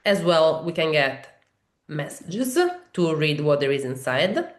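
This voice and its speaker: A woman with an Italian accent, a native Italian speaker, walking through a software demo in a webinar and explaining each step, with frequent fillers and restarts. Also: deep voice, big pitch swings, takes it slowly, reverberant. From Italy. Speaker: software trainer.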